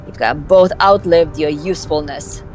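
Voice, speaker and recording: A woman's voice: low-pitched, normal speed, a little mellow, soft background music, close to an everyday voice. A woman voicing a flippant, scheming young vampire socialite in an amateur visual novel dub, with a snarky, theatrical delivery. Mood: wry, dismissive.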